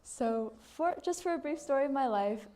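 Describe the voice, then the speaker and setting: A teenage student whose first language is Chinese, giving an earnest, slightly halting research presentation to a group. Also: female voice, sits high, expressive, mellow. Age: teens.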